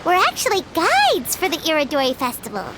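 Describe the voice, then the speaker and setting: A woman voicing a small, childlike, excitable fairy companion in a video game, squeaky and bubbly with chatty exclamations. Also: a little fast, slight background noise, full character voice. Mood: excited, explaining. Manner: bubbly, exclamatory, refers to self by name. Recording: background music under the voice.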